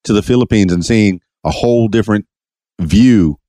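A man's voice: fairly low pitch, normal speed, dark tone, no room sound, studio quality. A man from Miami hosting a podcast, asking rambling, playful questions with many stammers and restarts. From Miami, USA. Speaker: podcast host. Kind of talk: casual interview.

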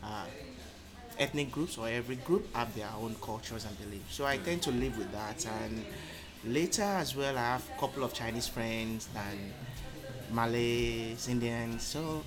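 A man in his thirties speaks as a podcast guest, at a middle pitch. He tells his story in a measured, thoughtful way, pausing often on um. Music runs behind his voice. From Nigeria. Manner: thoughtful, measured, with frequent um pauses. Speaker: interview guest. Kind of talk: casual conversational storytelling.

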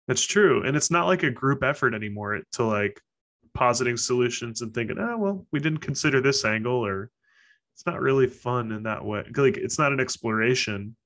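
A man's voice: mid-range voice, expressive, normal speed, fairly bright tone. A man in a casual conversation, joking loosely and leaning on "like" as filler. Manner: loose, joking, says "like" a lot. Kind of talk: casual chat.

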